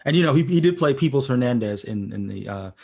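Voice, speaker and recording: A man hosting a conversational pop-culture podcast, speaking in a measured, deliberate way and often repeating phrases as he goes. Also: medium pitch, animated, gravelly, a little mellow. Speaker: podcast host.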